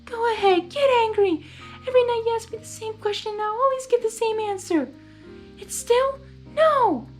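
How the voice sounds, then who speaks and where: A very high, shrill young female pony, played by a woman in a storybook. She is a stubborn captive, defiant and indignant, pushing words out emphatically. Faint music plays behind her. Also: normal speed. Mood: angry.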